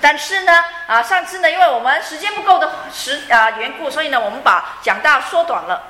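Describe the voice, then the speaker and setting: A woman's voice: high-pitched, animated, quick pace, bright, forceful tone, slight background noise. A woman interpreting a sermon phrase by phrase, fluent but with frequent fillers and light laughs. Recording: echoey room.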